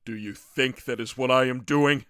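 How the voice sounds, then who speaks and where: An actor playing a bitter, curt, challenging baron in a fantasy audiobook. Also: male voice, mid-range voice, fairly even intonation, normal speed, a bit bright, clear, resonant tone, no room sound, clean studio sound, lightly acted. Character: bitter, curt baron abandoning his estate. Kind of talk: audiobook character acting.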